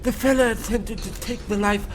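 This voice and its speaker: Man playing an excitable, formal sidekick professor. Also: sits high, moderate pace, a little hiss or hum, natural voice. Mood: earnest. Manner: formal, exclamatory. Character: earnest, excitable male professor sidekick to detective. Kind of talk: old-time radio comedy acting.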